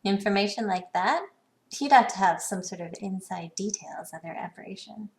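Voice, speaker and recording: Woman acting a creaky-voiced, cool corporate businesswoman. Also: mid-range voice, moderate pace, close to an everyday voice. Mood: probing. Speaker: video game voice actor. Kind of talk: scripted game dialogue.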